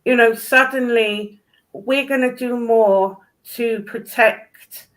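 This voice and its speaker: A woman's voice: on the high side, fairly even intonation, slow pace, fairly bright tone, low-quality recording. A woman in casual discussion, speaking in a blunt, opinionated way.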